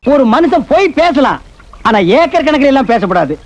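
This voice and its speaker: A man's voice: very high voice, very animated, brisk. A man acting an indignant scold in comic film dialogue. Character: indignant man calling out a huge lie.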